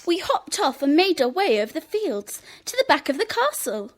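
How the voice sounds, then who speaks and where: Cheeky, stubborn schoolgirl, high and very lively, teasing, for a children's radio play. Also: moderate pace. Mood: boastful. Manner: teasing, smug, emphatic. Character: cheeky, stubborn young girl, smug little sister. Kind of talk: children's audio drama acting.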